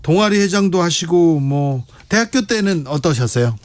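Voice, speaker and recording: A man hosting a radio interview, asking brisk questions and dropping in frequent short acknowledgments. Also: on the high side, lively intonation, no room sound. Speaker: radio host. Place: radio studio.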